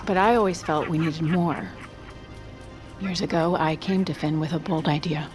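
A woman's voice: deep voice, fairly even intonation, moderate pace, faint music behind, lightly acted. An actress playing an assertive, articulate woman psychologist in a modern audio drama, earnest in her delivery. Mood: earnest, explanatory.

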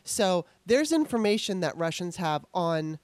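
A woman hosting a casual political discussion, blunt and animated, at ease with the odd swear word. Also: lowish voice, a little slow, no echo. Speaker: host.

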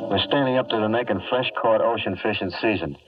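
A muffled old radio recording carries a male actor as a hard-boiled American wiseguy. He rattles along in slangy deadpan, wry and streetwise, a little distant from the mic. It is classic 1950s detective drama. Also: lowish voice, quick pace, loud music behind the voice, lightly acted. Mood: wry narration. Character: wisecracking streetwise male adventurer narrating his escapade.

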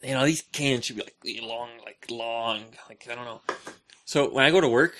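A man's voice: mid-range voice, normal speed, fairly bright tone. A man co-hosting a podcast, chatting casually and opinionatedly in a rambling, exclamatory way. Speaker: podcast co-host.

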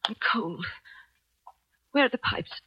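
A woman's voice: slow, lo-fi sound, heavy background noise, close to an everyday voice. A woman playing a terrified trapped governess. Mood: frightened. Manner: breathless and pleading, rising to screams. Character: frightened young female governess trapped by a killer. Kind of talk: 1940s radio drama acting.

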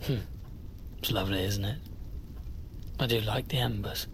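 An actor playing a mild, eccentric uncle who muses philosophically in a gentle, halting way, in an audio drama. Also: male voice, fairly low pitch, slight background noise, close to an everyday voice. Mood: serene, musing. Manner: gentle, halting, deliberate musing.

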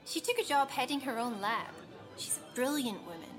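Young female agronomist: high, earnest, slightly hesitant, natural delivery over prominent music. Audio drama. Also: animated, normal speed, fairly bright tone. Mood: conversational. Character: young female agronomist, dutiful daughter, lonely survivor.

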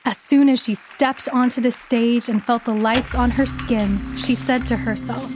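A woman's voice: fairly high pitch, fairly even intonation, unhurried, over music. A woman reading a scripted biographical story for children as its narrator.

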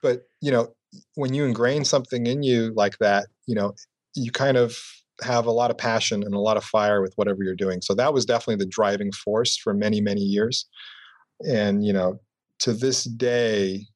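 A man with a slightly low voice answers interview questions in a loose, reflective way, restarting phrases and leaning on "you know." The sound is dry and close, with no room around it. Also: steady tone, moderate pace, no echo. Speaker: entrepreneur guest.

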